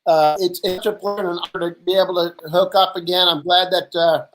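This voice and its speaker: A man's voice: high voice, steady tone, fairly quick, background noise. A man hosting a casual video chat, speaking in a familiar, lightly teasing way. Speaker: chat show host. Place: video call.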